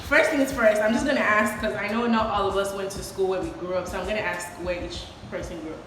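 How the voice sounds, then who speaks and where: A woman's voice: mid-range voice, fast talker, heavy reverb, long reverb tail, background noise. A young Nigerian woman hosting a casual chat with friends, teasing and laughing often. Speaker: vlogger host.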